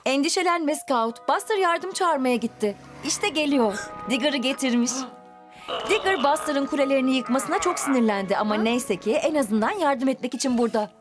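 A woman's voice: sits high, animated, normal speed. A woman playfully narrating a toddlers' cartoon, encouraging and exclaiming as she addresses the characters. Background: music.

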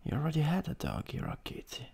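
A man with an Italian accent playing a mean, sadistic vampire master in an improvised ASMR roleplay, husky and breathy with a menacing, theatrical manner that drifts into whispers. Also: on the high side, wildly swooping pitch, a little mellow, voice pushed up, full character voice. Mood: mock tender. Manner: slow, menacing, theatrical, chuckling.

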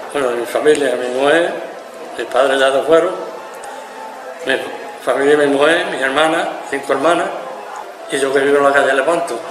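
Older grandfather talking haltingly, echoey. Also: mid-range voice, fairly even intonation, background noise. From Spain. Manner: halting, repeating himself, simple phrasing. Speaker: interviewee, amateur musician. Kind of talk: casual recollection to camera. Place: indoor room, seated. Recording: echoey room with music underneath.

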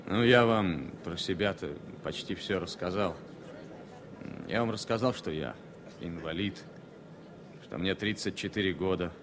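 An actor playing a talkative, wry former military pilot in his thirties, speaking in a halting, informal way in a radio drama. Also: low voice, noisy background. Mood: wistful, guarded. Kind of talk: Soviet radio drama acting.

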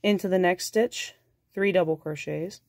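A woman with a cold, sounding nasal, calmly talking through a crochet tutorial step by step and counting stitches aloud. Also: lowish voice, normal speed, no room sound, studio quality. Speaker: crochet instructor.

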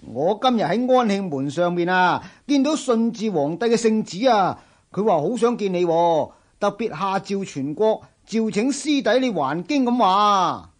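Jovial, high-pitched chatty monk. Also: male voice, expressive, measured pace. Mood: excited. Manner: colloquial, jolly, exclamatory. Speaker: radio drama actor. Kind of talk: Cantonese radio drama acting.

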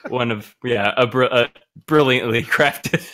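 A woman's voice: a bass voice, unhurried, background noise. A woman chatting casually as a panelist on a podcast. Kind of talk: casual chat. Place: live group podcast stream.

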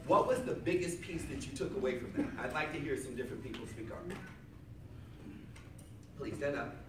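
A man giving a motivational seminar talk to a room of people, loud and emphatic, repeating his key lines back to the crowd. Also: a little high, brisk. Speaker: seminar speaker. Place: hotel conference room with seated audience. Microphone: far camera at back of room, no microphone. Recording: echoey room from far off with audience noise.

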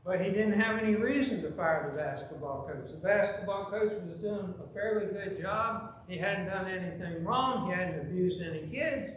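A middle-aged man preaching a sermon from the pulpit, telling a story with lively, emphatic delivery. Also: high voice, normal speed, hardly any pauses, slight background noise. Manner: animated, gesturing, rising to loud emphasis. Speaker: pastor. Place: large church sanctuary, at the pulpit. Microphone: pulpit microphone, filmed from far camera. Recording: very echoey church with faint ambience.

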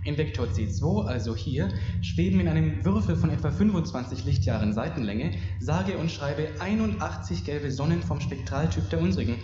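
Guy playing wry know-it-all spaceship crewman, lively highish voice, echoey old sci-fi tape with music. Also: moderate pace, natural voice. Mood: wry, explanatory. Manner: mocking, emphatic, explanatory. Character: male space crewman, nonchalant, excitable, wry expert. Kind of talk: dramatic science-fiction audio play. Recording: dull echoey cassette, music behind.

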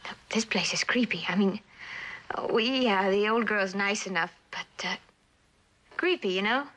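A woman's voice: mid-range voice, unhurried, a bit bright, close to an everyday voice, rough recording. Actress as a tough, slangy young woman. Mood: uneasy, defiant. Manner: slangy, blunt, wisecracking. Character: tough, blunt, streetwise delinquent young woman, defiant. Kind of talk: 1960s television suspense drama acting.

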